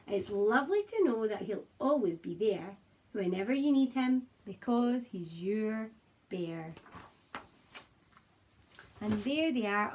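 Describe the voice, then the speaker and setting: A woman primary teacher with a slightly husky voice, talking to young children and reading a picture book aloud in an expressive, encouraging way. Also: mid-range voice, a little hiss or hum. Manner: expressive, encouraging, simple address to small children. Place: child's room at home, indoors.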